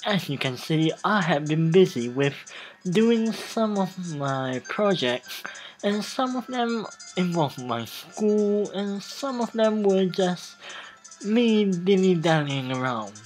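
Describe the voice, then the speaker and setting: Let's play narrator, mid-range androgynous voice, halting dramatic reading with stumbles, music behind. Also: mid-range voice, animated, darkish tone, poor audio. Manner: halting, stumbles over read text and restarts. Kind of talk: casual game playthrough with dramatic reading. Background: background music.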